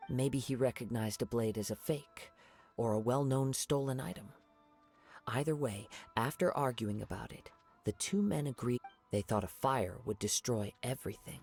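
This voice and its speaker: A man voicing a calm, thoughtful young samurai in a video game, speaking softly in measured, formal phrases. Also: medium pitch, gravelly, slightly rough, soft background music. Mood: pondering, calm. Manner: measured, contemplative, formal wording. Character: calm, thoughtful young male wandering samurai, polite and observant. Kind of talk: video game character acting.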